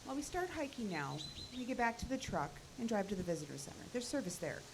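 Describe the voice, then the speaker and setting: A woman acting in a live audio drama as a wry, teasing hiker with an expressive, sarcastic delivery. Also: fairly high pitch, fast talker, noisy background. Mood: businesslike.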